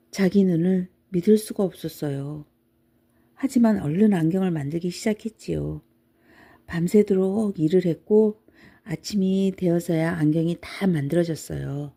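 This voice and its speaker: Female storybook narrator for bedtime listening; soft dark timbre, slightly low, measured delivery.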